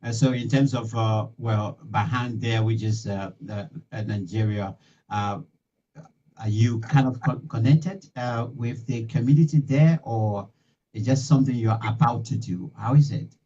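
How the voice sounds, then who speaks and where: A middle-aged man hosting a live radio interview, talking in halting bursts with many fillers and restarts, recorded in a small home studio. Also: lowish voice, dark tone, slight background noise. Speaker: talk show host. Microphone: studio mic with headphones. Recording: distant, dull lo-fi sound.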